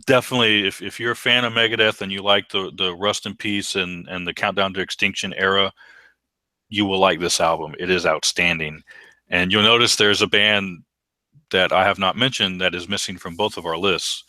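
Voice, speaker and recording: A middle-aged man with a baritone voice, co-hosting a casual podcast chat and speaking with enthusiasm. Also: steady tone, moderate pace, sharp tone, no room sound. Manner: enthusiastic, repeats words when restarting. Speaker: podcast co-host.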